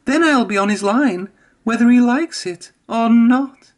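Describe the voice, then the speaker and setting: A man's voice: very high voice, measured pace, pitched above the performer's own voice. A man voicing a boastful, bossy young female railway engine in a children's storybook. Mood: scheming.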